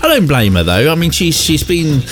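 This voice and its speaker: A man's voice: a little high, sing-song, moderate pace. A man hosting a radio show in a casual, chatty style. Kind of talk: casual chat.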